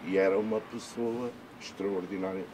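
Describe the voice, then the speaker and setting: A man's voice: sits low, steady tone, moderate pace, background noise. A man recounting memories in a documentary interview, emphatic and heartfelt. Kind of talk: personal recollection.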